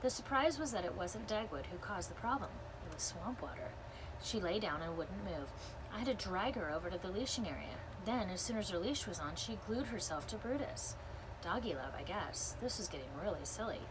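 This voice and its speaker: A woman reading a children's story aloud like a teacher, in an expressive storytelling style. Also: mid-range voice, fairly quick. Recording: clear background noise. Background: ambient noise.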